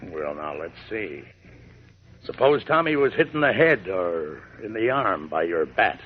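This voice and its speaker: Casting: scheming male gang leader for a vintage radio serial, lowish voice, coaxing menace, muffled noisy recording. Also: big pitch swings, unhurried. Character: manipulative adult male bigot gang boss, falsely friendly. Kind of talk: 1940s radio acting.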